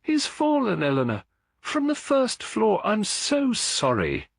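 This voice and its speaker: A man voicing an anguished young father in a mystery story, with a halting, distressed delivery. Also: sits high, wildly swooping pitch, vocal fry, no echo, clean studio sound, voice pushed up, exaggerated voice. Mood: grief-stricken.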